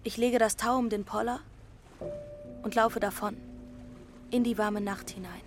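A woman's voice: medium pitch, fairly even intonation, measured pace, natural voice. A woman acting a runaway teenage girl who wanders alone, headstrong and dreamy. She tells it in the present tense, lyrical and close, in a mid-range female voice. Prominent music plays under her throughout this audio drama. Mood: defiant.

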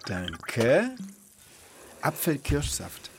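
A man's voice: mid-range voice, wildly swooping pitch, very slow, very noisy. A man in his thirties hosting a children's podcast, chatting and telling personal stories. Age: 30s. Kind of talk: conversational storytelling and chat for children.

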